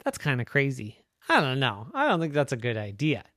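A friendly, easygoing zookeeper, voiced by a woman telling a bedtime story. The voice is relaxed and a bit unsure, with a lively rise and fall. It sounds dry and close. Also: very deep voice, brisk, dry recording, heavily acted.

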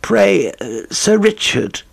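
A man voicing a polite young gentleman in a hurry who speaks in a formal, deferential way. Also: high voice, very animated, slow, vocal fry, pitched above the performer's own voice, full character voice.

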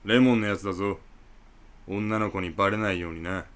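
A man playing a bossy senior hanger-on in a comedy radio drama, curt and gruff with his underling. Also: lowish voice, steady tone, brisk, fairly bright tone, natural voice. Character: bossy male senior hanger-on bullying a junior.

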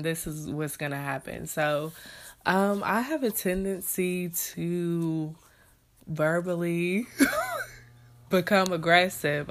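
Slow, low-voiced congested woman. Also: a bit bright. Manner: rambling, many fillers and restarts. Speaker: podcast host. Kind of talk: casual solo podcast reflection.

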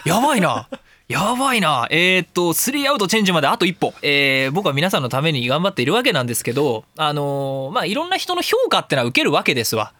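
A man hosting a casual, opinionated radio talk show, rambling along in an animated, theatrical and self-mocking way. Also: on the high side, quick pace, dry recording. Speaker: professional speaker and audio engineer.

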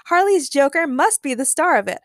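Woman doing an excitable, high-pitched baffled lady. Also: brisk, no room sound, clean studio sound. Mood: enthusiastic. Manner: shouting, baffled. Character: excitable, confused goggled lady, loudly enthusiastic.

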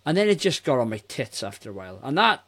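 A man's voice: mid-range voice, sing-song, fast talker, fairly bright tone. A man in his forties giving blunt, casual opinions on a film as a podcast co-host. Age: 40s. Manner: blunt, mildly sweary.

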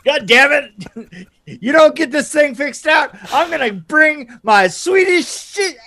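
A man putting on an angry, shouting man who makes loud threats, drawn out for comic effect. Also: very high-pitched, animated, slow, pitched above the performer's own voice, heavily acted. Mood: furious.

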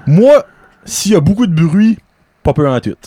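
Podcast co-host, man, animated, fairly high voice, dry close recording. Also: moderate pace, no echo. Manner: animated, laughing, mixes English words into French. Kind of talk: casual chat.

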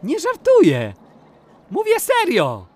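A man's voice: very high voice, wildly swooping pitch, slow pace, a little mellow, no room sound, pitched above the performer's own voice, full character voice. A man voicing a playful, curious little boy who exclaims and jokes in a children's audio play. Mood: cheeky. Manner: exclaiming, inquisitive, cheeky.

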